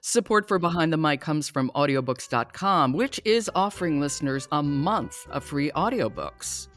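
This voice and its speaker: A woman's voice: sits low, animated, moderate pace, faint music behind. A woman hosting a podcast discussion about books. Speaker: podcast host.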